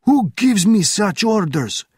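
A man voicing a panicked, shouting border guard officer in an audiobook thriller, frantic and exclamatory. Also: sits high, big pitch swings, slow, slightly rough, no echo, studio quality, pitched above the performer's own voice, exaggerated voice. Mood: frantic protest.

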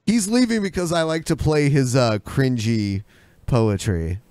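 A man's voice: mid-range voice, a little slow, heavy background noise. A man hosting a podcast with casual, joking chat. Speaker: podcast host.

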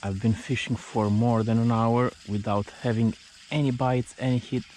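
A man films a fishing vlog by the water, speaking in a casual, unscripted way at an average male pitch. Light outdoor noise sits behind his voice. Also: steady tone, normal speed, darkish tone. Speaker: angler filming own fishing vlog. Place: outdoors by a river.

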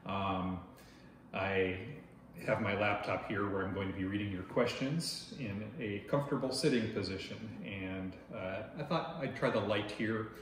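A man in his forties from Oregon hosts an insect channel, chatting to the camera in a loud voice with lots of filler words. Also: on the low side, a little slow. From Oregon, United States. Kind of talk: casual talk to camera. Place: dining room at home, indoors. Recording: very echoey room, faint noise.

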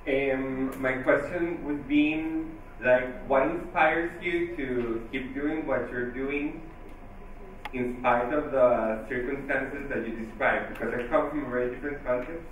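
A man's voice: medium pitch, steady tone, unhurried, slight background noise. Earnest man asking from the audience. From Costa Rica. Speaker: audience member asking a question. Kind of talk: audience question. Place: event hall audience. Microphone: handheld mic. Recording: echoey hall, distant mic.

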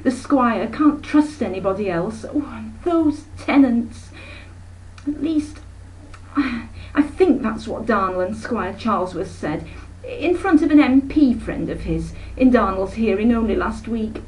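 Woman acting a gushing snobbish aunt, high-pitched. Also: expressive, unhurried, a little mellow, recorded from a distance, rough recording. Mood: self-important, gushing. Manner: gushing, pompous, talks in long runs. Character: breezy snobbish middle-aged aunt, flustered, self-important chatterer.

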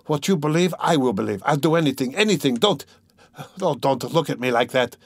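A man's voice: mid-range voice, lively intonation, normal speed, no room sound, voice pushed up. Man voicing an obsessive, menacing male tormentor. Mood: feverish pleading. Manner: emphatic, feverish, insinuating. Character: obsessive, menacing male tormentor, pleading then coldly mocking. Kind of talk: dramatic audiobook character reading.

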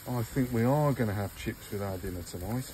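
A man's voice: fairly low pitch, brisk, warm tone, a little hiss or hum, poor audio. An older man hosting a casual outdoor cooking vlog, chatting to viewers as he cooks at a garden grill. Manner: chatty, talks to viewers while cooking, says "look" often.

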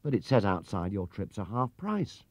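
A man's voice: lowish voice, big pitch swings, moderate pace, darkish tone, dry recording. A man voicing a polite, earnest, easily indignant young bear with a British accent for a storybook. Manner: earnest, self-important, very polite. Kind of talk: storybook character reading. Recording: dull 1970s cassette recording.

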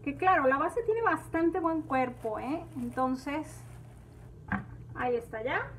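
A woman's voice: high voice, animated, slow, slight background noise. A woman, hosting a home cooking tutorial in her kitchen and chatting viewers through each step. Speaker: cooking channel host.

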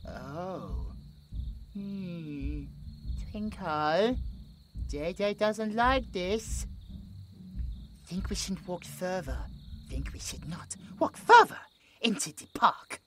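A British man voicing a gentle, childlike possessed male creature who talks about himself in the third person with broken grammar. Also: high voice, lively intonation, extremely slow, pauses often, a little hiss or hum, full character voice. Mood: uneasy, hushed. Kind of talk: horror audio roleplay.

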